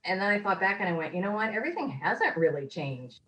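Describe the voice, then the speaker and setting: A woman being interviewed over a video call, talking earnestly and reflectively in a rambling way, her voice a little hoarse. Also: medium pitch, sing-song, quick pace, fairly bright tone, rough recording. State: slightly hoarse. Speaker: course graduate, interviewee. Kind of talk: casual testimonial interview.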